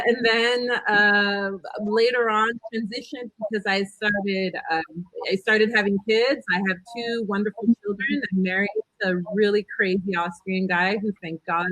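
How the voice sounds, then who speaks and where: A woman's voice: mid-range voice, fairly even intonation, moderate pace, fairly bright tone, no room sound, rough recording. A woman in her thirties from California with an American accent, telling her career story on a video call panel, chatty and hesitant with many fillers. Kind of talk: casual panel talk.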